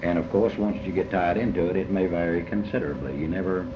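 A man with a Kentucky accent giving reflective, folksy interview answers as a novelist, halting and deliberate, often repeating his phrases. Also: sits low. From Kentucky, United States. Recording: muffled, noisy, echoey old tape.

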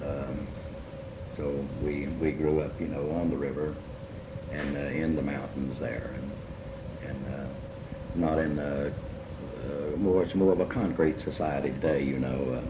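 Male writer, middle-aged, Appalachian Kentucky accent, deep and halting; interview on a roomy, noisy recording. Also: steady tone. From eastern Kentucky, United States. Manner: halting, reflective, full of pauses. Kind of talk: reminiscing in an oral interview. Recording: muffled, noisy, roomy amateur tape.

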